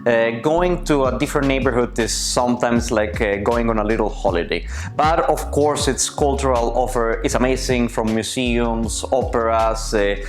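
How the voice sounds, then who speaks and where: A man's voice: mid-range voice, moderate pace. Thoughtful man, faint music behind. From Colombia. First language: Spanish. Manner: thoughtful, searching for words. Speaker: interviewee. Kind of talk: personal interview answers.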